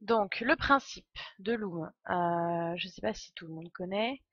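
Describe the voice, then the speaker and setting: A woman's voice: on the low side, unhurried, a bit bright, breathy edge, dry recording, lo-fi sound. Congested young woman, casual streamer. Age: 20s. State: congested with a cold. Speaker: game streamer, host. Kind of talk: casual live stream chat.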